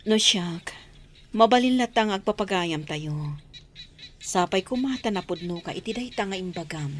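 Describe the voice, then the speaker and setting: A woman's voice: medium pitch, expressive, normal speed, slight background noise, close to an everyday voice. A woman playing a loving, cautious, forgiving middle-aged wife in a radio drama, with an Ilocano Filipino accent. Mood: gracious.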